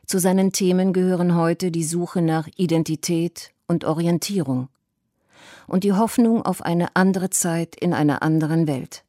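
A woman with a low, dark voice reads a radio documentary script. Her delivery is calm and even, each sentence measured out. The recording is dry and close. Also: dry recording. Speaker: documentary narrator.

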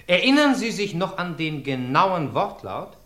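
An actor playing a persistent lawyer cross-examining a witness in a radio drama, formal and probing. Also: male voice, on the high side, sing-song, a little slow, bright, forceful tone, reverberant, heavily acted. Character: sharp, persistent male courtroom lawyer. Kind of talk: radio play acting.

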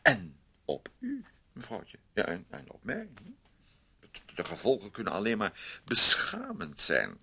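An actor in a radio play voicing an ingratiating, meddling gentleman neighbour who wheedles and repeats himself with fussy politeness. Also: fairly low pitch, wildly swooping pitch, a little slow, vocal fry, husky, exaggerated voice. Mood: earnest warning.

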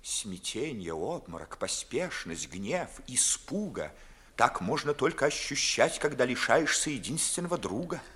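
Ardent, husky young nobleman. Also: mid-range voice, big pitch swings, unhurried, fairly bright tone, exaggerated voice. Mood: bitter, jealous. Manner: urgent, dramatic. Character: Chatsky, ardent young nobleman, anxious and wounded in love. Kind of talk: 1950s radio theatre verse acting.

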